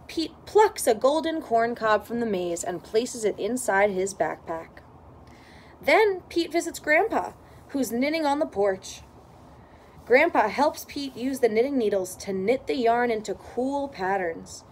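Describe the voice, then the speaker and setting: A woman reading a children's storybook aloud in expressive narration. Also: a little high, measured pace, a little hiss or hum. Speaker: storybook reader.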